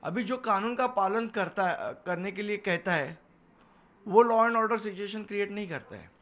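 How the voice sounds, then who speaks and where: Assertive high-pitched man. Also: measured pace, a bit bright. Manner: assertive, defiant, emphatic repetition. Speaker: political party leader and spokesman. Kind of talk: television news interview answers. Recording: noisy location sound, background ambience.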